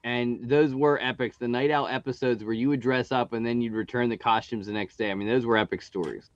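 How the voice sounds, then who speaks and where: A man's voice: medium pitch, steady tone, a little fast, dull audio. A man in his forties hosting a casual chat, easygoing and telling stories. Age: 40s. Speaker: host.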